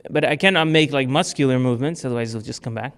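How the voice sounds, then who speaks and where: A man's voice: medium pitch, fast, a bit bright, clean tone. A man from Portugal giving a talk to a club audience, answering questions in a casual way with many fillers and restarts. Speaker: speaker. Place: club meeting room.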